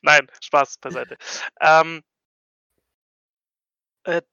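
A man's voice: a little high, fairly even intonation, extremely slow, vocal fry, sharp tone, clean tone, no echo, dull audio. A man playing a tabletop role-playing game, chatting casually and playfully and dropping in English phrases.

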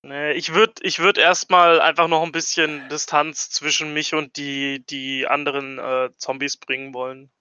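Higher-pitched man, slow and bright. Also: flat delivery, smooth, no room sound, highs cut off. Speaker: tabletop game player. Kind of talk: casual game-table chat.

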